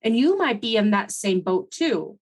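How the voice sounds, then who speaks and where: A woman's voice: medium pitch, very animated, normal speed. Woman podcast host, middle-aged, conversational and encouraging, voice recovering from laryngitis. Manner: conversational, encouraging, frequent "right?" asides. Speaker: podcast host and consultant coach. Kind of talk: solo podcast teaching talk.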